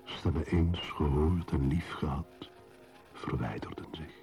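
An actor playing a solitary, brooding male wanderer who narrates in a solemn, measured, poetic radio play style. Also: extremely low pitch, creaky, mellow, faint music behind, exaggerated voice.

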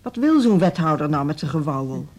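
Casting: a principled female music teacher, fierce and insistent, soft dark tone, radio drama. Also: low voice, animated, fairly quick. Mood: earnest, insistent.

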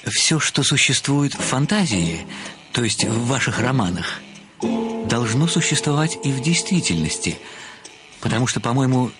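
A man's voice: medium pitch, big pitch swings, normal speed, music in the background, natural voice. An actor playing a meek older man, a retired bookkeeper turned amateur sleuth, speaking deferentially, formally and earnestly in an audio play. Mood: earnest, explaining.

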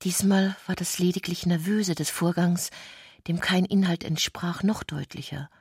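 A woman narrating a literary radio play in a measured, precise manner. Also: fairly low pitch, fairly even intonation, a little mellow, husky, no echo, clean studio sound.

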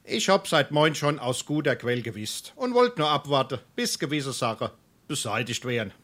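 A man's voice: medium pitch, big pitch swings, normal speed, a bit bright. A man playing a canny small-town burgher in a Darmstadt dialect comedy, dry and needling. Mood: reassuring. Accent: Darmstadt Hessian. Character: canny, blunt male townsman, a family friend. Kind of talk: dialect stage comedy acting.

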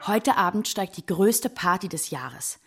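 A woman's voice: on the low side, expressive, measured pace, gravelly, music in the background. An actress playing a brash, cool teenage party girl, telling things matter-of-factly in a radio-play monologue. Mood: casual anticipation.

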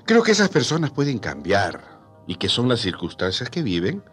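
A man's voice: mid-range voice, huge pitch swings, moderate pace, fairly bright tone, soft background music. A man co-hosting a radio programme in a scripted conversational style. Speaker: radio co-host.

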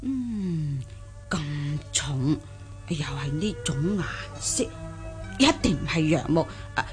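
A woman playing a simple, good-humoured old country granny in a radio drama, with a husky aged voice, folksy exclamations and hesitant musing. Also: sits low, sing-song, takes it slowly, soft background music, heavily acted. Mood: musing, boastful. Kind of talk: Cantonese radio drama acting.

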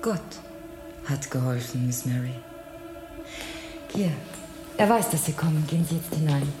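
An actress playing a calm, pious, kindly nun in a radio drama, composed and gentle. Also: female voice, sits low, unhurried. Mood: calm, reassuring. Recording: echoey, with music behind.

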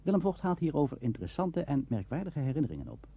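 A man narrating a documentary in a formal, measured storytelling manner. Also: fairly high pitch, sing-song, slightly rough. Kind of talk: scripted narration. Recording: dull lo-fi archive tape.

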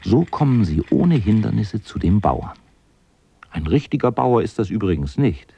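Gravelly male storyteller, expressive. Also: lowish voice, moderate pace, dull audio, slight background noise. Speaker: radio drama narrator. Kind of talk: scripted storytelling narration.

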